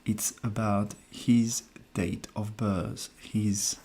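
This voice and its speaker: A man hosting a casual live numerology stream, soft-spoken and hesitant as he thinks aloud. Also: on the low side, little pitch movement, extremely slow, slightly rough. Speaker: numerologist host.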